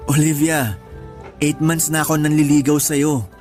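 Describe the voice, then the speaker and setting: An actor with a Filipino accent playing a sincere, gently insistent restaurant manager in love, in a radio drama. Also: male voice, on the high side, flat delivery, a little slow, soft background music, close to an everyday voice. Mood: mildly hurt. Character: earnest male restaurant manager, persistent smitten suitor.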